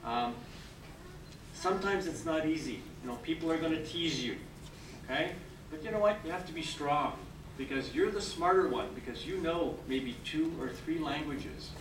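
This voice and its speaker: A man's voice: fairly high pitch, moderate pace. Older man giving an echoey ceremony speech. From Canada. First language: French. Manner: encouraging, repeatedly checks in with "okay?". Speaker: citizenship judge addressing new citizens. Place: large hall with an audience. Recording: echoey hall, mic far off, noisy.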